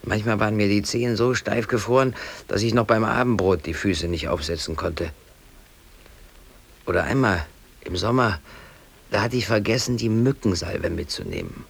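A man's voice: on the low side, lively intonation, normal speed, vocal fry, husky, heavily acted. An actor playing an aggrieved, defiant working man in his thirties, brooding aloud in colloquial speech in a radio drama. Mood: rueful recounting. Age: 30s. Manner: colloquial, brooding, talking to himself. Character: aggrieved, defiant working man, hobby photographer, in police custody.

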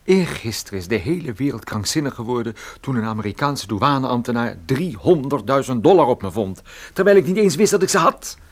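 A man's voice: medium pitch, animated, a little fast. An actor playing a shaken, self-mocking male private detective in a Dutch radio play, with a sardonic way of talking. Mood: agitated, bitter.

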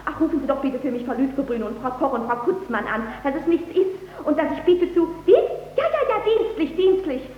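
An actress in a 1940s German radio play, playing a mayor's flustered, chatty and genteel wife. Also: female voice, high-pitched, lively intonation, a little fast, few pauses. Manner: fussy, breathless social chatter. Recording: echoey, dull, hissy old radio recording.

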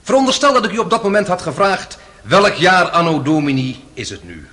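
A man's voice: high-pitched, big pitch swings, brisk, bright, forceful tone, heavily acted. A man playing a sly, undaunted defence lawyer who questions with theatrical irony in a radio drama. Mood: mocking. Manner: leading, ironic, theatrical questioning.

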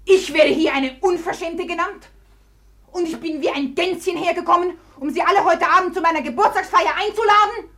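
Proud, mocking young beauty: high voice, haughty measured phrasing, vintage radio drama. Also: female voice, a bit bright. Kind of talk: 1950s German radio drama acting. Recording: 1950s radio recording.

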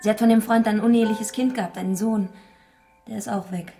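A woman's voice: medium pitch, fairly even intonation, fairly quick, music in the background, close to an everyday voice. An actress playing a matter-of-fact village wife and mother in a German radio crime drama. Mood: matter-of-fact gossip.

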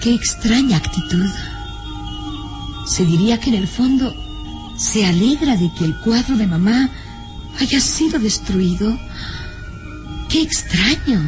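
An actress playing a baron's distressed, indignant daughter in a melodramatic, emotional radio drama style. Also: female voice, on the high side, expressive, slow pace, pauses often, over music. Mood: puzzled, brooding.

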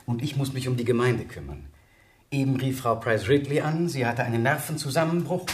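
An actor playing a kindly, conciliatory village vicar in a radio drama, soothing and paternal in manner. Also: male voice, medium pitch, a little fast, darkish tone, a little hiss or hum, close to an everyday voice.

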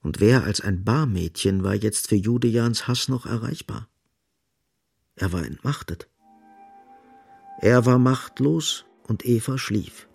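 An actor as the grave, ironic narrator of a literary radio play, deliberate and insistent, with a husky, creaky voice. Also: male voice, on the low side, a little mellow, soft background music, exaggerated voice. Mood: grave, brooding.

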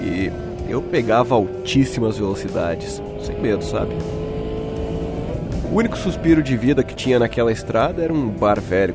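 A man acting as a shaken young Brazilian who tells his own horror story in a suspenseful, dramatic way. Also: lowish voice. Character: shaken young man recounting a night-time horror encounter. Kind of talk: dramatic first-person horror story narration with acted dialogue. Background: music.

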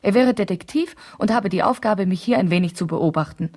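A woman's voice: medium pitch, expressive, a little fast, a little mellow, natural voice. An actress playing a vain, chatty and easily indignant wife in a 1970s German radio play, digressing as she justifies herself. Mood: gossipy.

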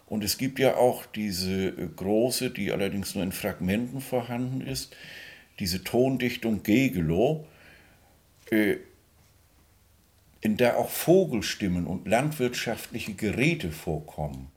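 A man speaking as a music expert, explaining off the cuff in a halting, digressive way, often tagging his phrases with a questioning word. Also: fairly low pitch, expressive, a little mellow. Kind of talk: unscripted interview-style reminiscing explanation.

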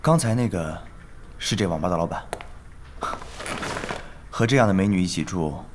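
An actor playing a calculating, smooth club boss with a measured, probing manner in a Chinese audio drama. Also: male voice, low-pitched, slight background noise, natural voice. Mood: persuasive. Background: music.

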